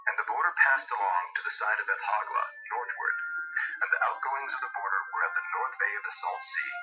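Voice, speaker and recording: A man narrating scripture from a script in a solemn, measured, formal manner. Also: mid-range voice, wildly swooping pitch. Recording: dull, lo-fi radio-like sound. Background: music.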